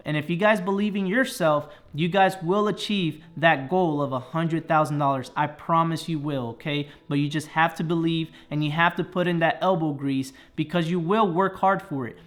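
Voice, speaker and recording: High-pitched motivational man in his thirties. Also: normal speed, few pauses, a bit bright. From Dominican Republic. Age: 30s. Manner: earnest and motivational, ends phrases with "okay?". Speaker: personal finance YouTuber. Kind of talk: motivational talk to camera.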